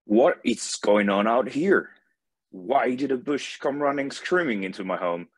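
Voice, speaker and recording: An actor playing a stern, righteous giant sun god in an animated fantasy table read. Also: male voice, medium pitch, measured pace, slightly rough, heavily acted. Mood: stern, commanding. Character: towering, righteous, radiant male sun god.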